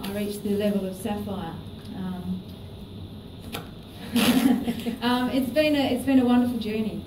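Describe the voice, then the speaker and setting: A middle-aged woman telling her own story at a meeting, earnest and hesitant with many fillers. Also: medium pitch. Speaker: business presenter. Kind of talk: personal testimony at a meeting. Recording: echoey room, distant mic, noisy.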